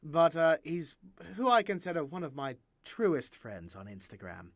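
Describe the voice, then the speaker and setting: A young man from the American South without a Southern accent, hosting a casual chat, relaxed and chatty with lots of fillers and laughs. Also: on the high side, moderate pace, dry recording, clean studio sound. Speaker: podcast host.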